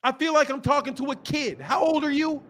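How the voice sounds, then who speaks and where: A man's voice: very high-pitched, lively intonation, a little fast, a bit bright. A man in his fifties hosting a boxing channel, scolding and insulting a caller in a heated live argument. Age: 50s. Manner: insulting, scolding, repeats himself.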